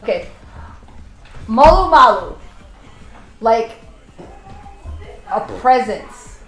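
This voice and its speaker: A woman from Samoa runs a lighthearted family word game, keeping things moving with a playful lead. Her voice sits high. The room is echoey, with faint music underneath. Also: sing-song, extremely slow, a bit bright. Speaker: vlog host. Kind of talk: casual family game show.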